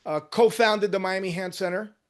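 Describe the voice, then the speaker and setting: A man in his sixties, born in Cuba, speaks with a somewhat high voice for a man. He recounts his path into surgery in a relaxed interview, animated one moment and dropping quiet the next. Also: moderate pace. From Cuba, raised in New Jersey. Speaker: guest, orthopedic hand surgeon. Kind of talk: casual interview storytelling.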